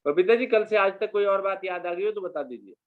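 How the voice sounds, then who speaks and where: A man's voice: high-pitched, a little fast. A man hosting a casual group discussion, politely prompting guests to share old memories. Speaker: discussion host. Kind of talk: casual group chat on a call. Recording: dull lo-fi call audio.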